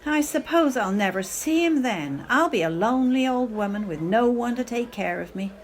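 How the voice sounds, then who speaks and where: A woman's voice: a little high, expressive, normal speed, lightly acted. A woman acting a melodramatic, self-pitying, clinging middle-aged mother in a radio play, with theatrical, affected speech. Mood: wounded, pleading. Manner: theatrical, affected, sprinkles in foreign phrases.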